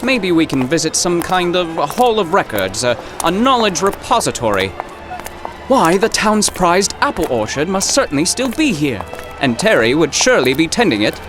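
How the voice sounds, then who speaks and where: A man plays a high-voiced, swooping professor from another era, fussy and excitable. His diction is formal and pompous, in the manner of a period radio comedy, with music clearly playing underneath. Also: moderate pace. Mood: earnest. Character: fussy, excitable, easily flustered male professor from the 1930s. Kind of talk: period audio drama comedy acting.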